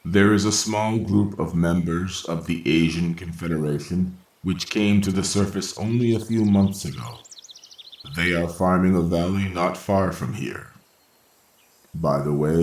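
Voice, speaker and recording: A man playing a calm, logical robot caretaker in a science fiction radio drama, speaking formally and with unshakeable composure. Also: low-pitched, a little hiss or hum. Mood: serene, matter-of-fact. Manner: measured, formal, matter-of-fact. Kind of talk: old-time radio science fiction acting. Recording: roomy, echoing voice.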